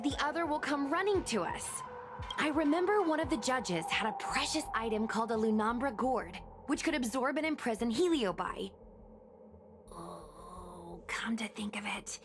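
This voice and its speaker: A woman's voice: fairly high pitch, very animated, a little slow. An actress playing a blunt, impatient young woman adventurer in a game cutscene. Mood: brisk. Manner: blunt, impatient, matter-of-fact. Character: brash young woman adventurer planning a trap. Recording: voice over game music.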